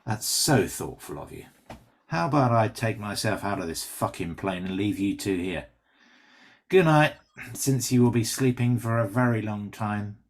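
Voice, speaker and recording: A man's voice: fairly low pitch, animated, moderate pace. A man playing a callous, mocking traitor pilot with a smug, sarcastic delivery in a script read. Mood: smug, cold. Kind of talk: screenplay table read acting.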